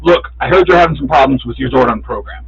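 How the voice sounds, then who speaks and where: A man playing a masked superhero calling in over a radio, brisk, friendly and businesslike. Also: mid-range voice, creaky, a bit bright, a little hiss or hum. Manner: radio call-out phrasing, brisk and familiar. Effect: radio filter. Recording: dull, muffled radio transmission.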